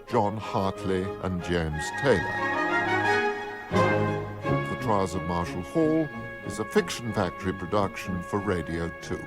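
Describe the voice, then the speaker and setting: Slightly deep male announcer over music. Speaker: continuity announcer. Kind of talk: scripted radio credits announcement.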